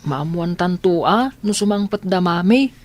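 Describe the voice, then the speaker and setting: A performer playing a worried, cautious young boy in an Ilocano radio drama, reasoning gently, with an Ilocano accent. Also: medium pitch, expressive, measured pace, lightly acted. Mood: cautious concern.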